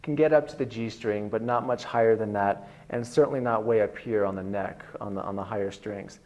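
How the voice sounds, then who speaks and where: Low-voiced man teaching guitar. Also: fairly quick, lo-fi sound. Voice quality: bass-baritone voice. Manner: relaxed, rambling explanation with many fillers. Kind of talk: online video lesson.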